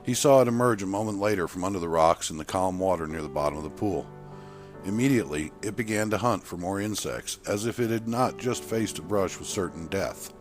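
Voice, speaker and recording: Low-voiced man narrating a story. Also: lively intonation, a little fast. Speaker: author and narrator. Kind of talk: scripted short story narration. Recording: music behind the voice.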